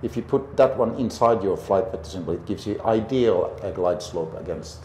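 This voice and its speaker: A middle-aged man calmly coaching a pilot step by step in a flight simulator, encouraging and full of pauses and fillers. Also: lowish voice. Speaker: flight instructor. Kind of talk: patient step-by-step instruction. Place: indoor flight simulator dome. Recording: roomy with background hum.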